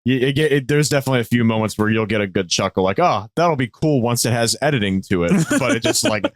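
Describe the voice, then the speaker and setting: A man's voice: mid-range voice, expressive, fast talker, no room sound, studio quality. A man chatting casually as a podcast guest, often doubling back on his words. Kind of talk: casual chat.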